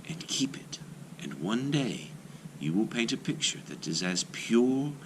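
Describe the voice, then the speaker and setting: Man voicing a soft, gentle young maiden. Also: medium pitch, very animated, measured pace, background noise, pitched above the performer's own voice.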